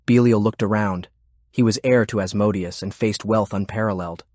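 Guy reading a dramatic audiobook, lowish voice, really dry sound no room. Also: steady tone, moderate pace, darkish tone, no room sound. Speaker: audiobook narrator. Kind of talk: scripted dramatic narration.

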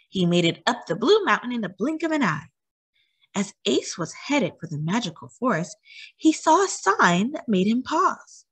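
A woman reading aloud to children as a storyteller, with an animated, expressive delivery that acts out the story. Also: mid-range voice, breathy edge. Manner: animated, acting out sighs and cheers.

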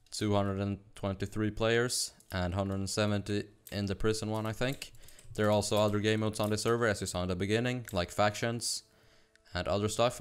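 A young man from Sweden with a Swedish accent, chatting fast and rambling with laughs while narrating his gameplay. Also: lowish voice, clear, resonant tone. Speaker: gaming YouTuber. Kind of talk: casual gameplay commentary.

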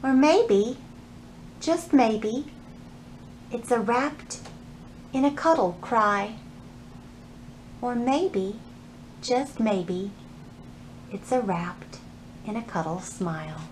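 A woman's voice: fairly high pitch, very slow, pauses often, a little hiss or hum. A woman in her thirties leading a children's storytime, speaking expressively and straight to young listeners. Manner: expressive, animated, addressing children directly. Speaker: children's librarian hosting storytime. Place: indoors in a library.

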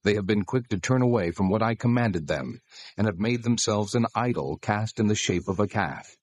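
A man playing God in a dramatized scripture reading, speaking solemn, deliberate commands with authority. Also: lowish voice, animated, husky, no room sound. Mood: stern, angry. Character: God, solemn male deity giving commands.

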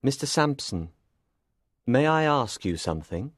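A man voicing a polite, sly gentleman villain in an audiobook, measured and mournful in manner. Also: medium pitch, very animated, dry recording, clean studio sound, pitched above the performer's own voice. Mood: inquisitive. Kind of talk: audiobook character voice.

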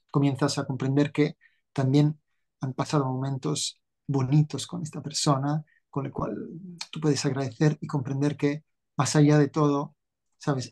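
Husky middle-aged man. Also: medium pitch, a little mellow. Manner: earnest, didactic, enumerating points. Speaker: interview guest, author and workshop teacher. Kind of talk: explanatory talk in a video interview. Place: living room, indoors, on a video call. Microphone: video call, no mic visible.

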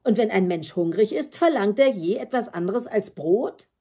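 A woman reading a storybook as a cheeky, clever shepherd boy, lively, emphatic and incredulous. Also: medium pitch, normal speed, husky. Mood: scornful, confident.

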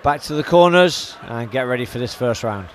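A man's voice: medium pitch, expressive, moderate pace, noisy background. A man giving live commentary at a fight event. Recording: crowd heard behind. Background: event crowd.

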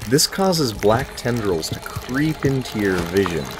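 A man's voice: lowish voice, very animated, a little slow, background noise. A man in his thirties giving a scripted video game review in a wry, casual, emphatic way. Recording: music behind the voice. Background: music.

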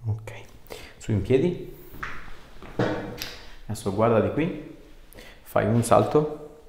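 Male chiropractor, 30s, lowish pitch, informal reassuring instructions, roomy sound with faint noise. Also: expressive, takes it slowly, vocal fry. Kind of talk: casual hands-on instruction to a patient during treatment. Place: small treatment room, indoors. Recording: echoey small room, background noise.

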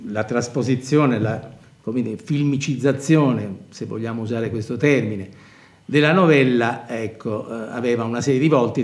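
An older man, a professor, giving reflective literary commentary in a scholarly, deliberate manner, searching for his words. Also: mid-range voice. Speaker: university professor of performing arts. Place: dark indoor theatre stage set, seated at table. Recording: roomy, echoing stage sound.

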